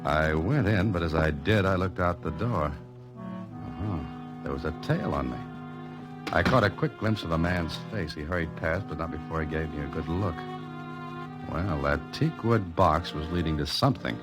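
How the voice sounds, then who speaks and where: An American actor playing a wry, cool novelist-sleuth in a 1940s radio drama, with dry, clipped delivery. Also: male voice, low voice, expressive, moderate pace. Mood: wry, intent. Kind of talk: 1940s radio drama acting and first-person narration. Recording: old radio recording with music behind.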